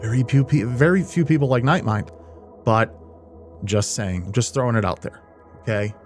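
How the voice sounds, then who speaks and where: A man's voice: mid-range voice, moderate pace. A man hosting a video, giving casual reaction commentary with rambling, informal asides. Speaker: host, horror video creator. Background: music.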